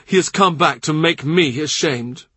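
A man's voice: on the high side, measured pace, fairly bright tone, breathy edge, no room sound, pitched above the performer's own voice. A man playing a brooding troubled king. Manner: brooding, troubled, speaks at length. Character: weary anxious middle-aged male king.

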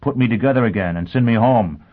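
A man's voice: mid-range voice, quick pace, voice pushed up, close to an everyday voice. A man voicing a grim, bitter criminal tormented by conscience in an audiobook, speaking with grave intensity. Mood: agitated, intense. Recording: narrow phone-like sound.

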